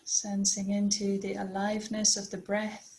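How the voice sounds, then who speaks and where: A woman leading a guided body scan meditation as a teacher, speaking gently and unhurriedly with long pauses. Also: medium pitch, monotone.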